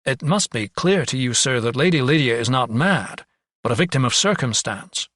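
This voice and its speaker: A man's voice: medium pitch, expressive, moderate pace, fairly bright tone, slight background noise, lightly acted. A man voicing an earnest, protective young doctor in an audiobook, urgent, easily agitated and formally polite.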